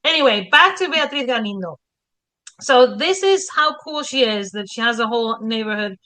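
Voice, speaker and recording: This is a woman with a Spanish accent, chatting on a history podcast in a bright, fairly high voice. She tells her stories with energy, throwing in rhetorical questions and little acted quotes. The whole thing feels like easy conversation with a friend. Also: normal speed. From Spain. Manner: animated storyteller, rhetorical questions, acts out quotes. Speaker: historian co-host. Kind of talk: casual podcast chat.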